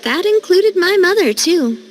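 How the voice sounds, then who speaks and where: A woman voicing a young female leader in a video game, friendly, chatty and earnest, with an expressive conversational delivery. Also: very high voice, measured pace. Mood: wry, reflective. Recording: game music underneath. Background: game music.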